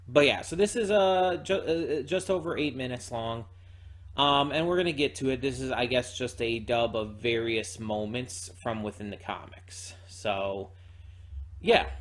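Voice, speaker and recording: Man, medium pitch, reaction host, casual commentary, halting, repeats words while thinking aloud. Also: fairly bright tone. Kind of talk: casual spoken commentary, reviewing a video.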